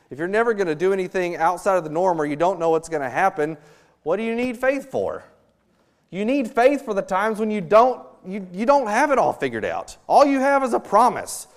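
A young man with a high-pitched voice preaches a sermon with lively energy. He acts out small scenes and throws in jokes as he speaks to the congregation. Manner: animated, acts out quotes with humor. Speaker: missionary preacher.

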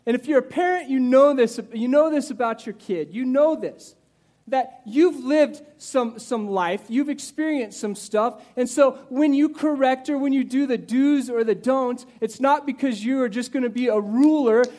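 Man, 40s, very high pitch, pastor, animated conversational preaching, talks straight to the congregation. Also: moderate pace. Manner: restarts and asides to the audience. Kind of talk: sermon.

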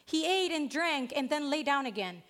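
High, lively Spanish-accented woman preacher. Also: moderate pace. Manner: earnest, emphatic preaching with swells of intensity. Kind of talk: sermon.

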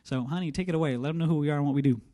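A man's voice: on the high side, racing, warm tone, no room sound, clean studio sound. A man speaking casually to a church congregation about prayer before handing over to his wife. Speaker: missionary speaker. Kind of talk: church talk.

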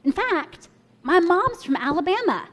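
A woman's voice: extremely high pitch, big pitch swings, unhurried. A young woman preaching a sermon, telling a personal story and inviting listeners to imagine along with her. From Kenya and the US.

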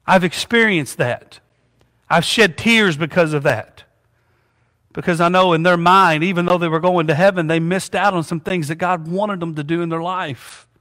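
A male pastor preaches in a church, prompting his congregation with "amen?" and asking them to finish his lines. His voice sits high and rings bright. Long reverb from the sanctuary trails each phrase. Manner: call-and-response preaching, asks congregation "what?" and "amen?". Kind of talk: sermon with prayer.

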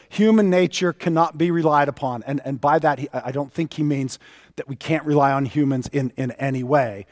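A man's voice: mid-range voice, darkish tone, studio quality. Man in his fifties preaching, reverberant hall. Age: 50s. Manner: emphatic pauses and repetition for weight. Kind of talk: sermon to a congregation.

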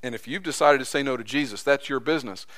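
Man, pastor, sermon, church, bright forceful tone, impassioned, swings high and low. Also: quick pace. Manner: impassioned, voice rising high when acting out quotes.

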